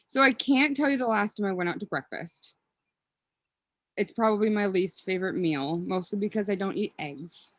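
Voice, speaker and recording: A woman's voice: mid-range voice, normal speed, fairly bright tone, dry recording. A woman in her thirties from Minnesota, chatting casually as a podcast guest, rambling with ums and self-corrections. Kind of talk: casual interview chat.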